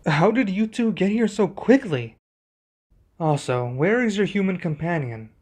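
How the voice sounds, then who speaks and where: A man playing a composed, earnest young king in an ASMR roleplay, speaking in a measured, formal way. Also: sits high, expressive, darkish tone. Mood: businesslike.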